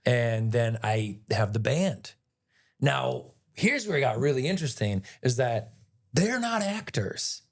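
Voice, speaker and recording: A man with a raspy voice, a guest actor telling a rambling, animated story in a casual interview. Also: medium pitch, measured pace. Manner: animated, rambling retelling with many "like"s.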